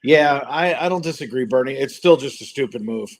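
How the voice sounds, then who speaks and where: A man's voice: medium pitch, fairly quick. Chatty man hosting a hockey stream. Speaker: live stream host calling hockey play by play. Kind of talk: casual live sports stream chat, greeting viewers.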